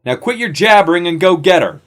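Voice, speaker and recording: Brash male gunslinger acted by a narrator, cocky, taunting, pitched high and loud, for audio fiction. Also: expressive, fairly quick. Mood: taunting menace. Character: defiant, foul-mouthed gunslinging male sidekick, cocky and threatening.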